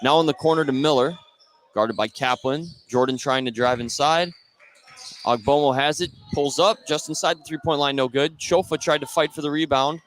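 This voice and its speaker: A man's voice: on the high side, moderate pace, fairly bright tone. An American man doing live play-by-play radio commentary of a basketball game. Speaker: play-by-play announcer. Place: indoor high school basketball gym. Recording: live gym noise behind the voice. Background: gym ambience, bouncing basketball.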